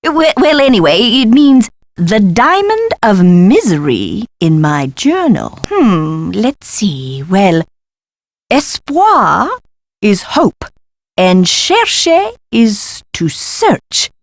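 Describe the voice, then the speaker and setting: An eccentric lady history scholar for an adventure game, recorded close and dry. Her pitch swoops about in a lively way as she gushes and fusses. The delivery is pompous and theatrical, with precise diction. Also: extremely slow, no echo, heavily acted. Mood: musing. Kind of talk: scripted video game dialogue.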